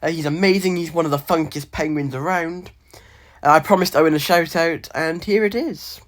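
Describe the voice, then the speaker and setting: A man's voice: on the high side, moderate pace. A young man chatting casually, his voice nasal and congested from a cold. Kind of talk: casual chat.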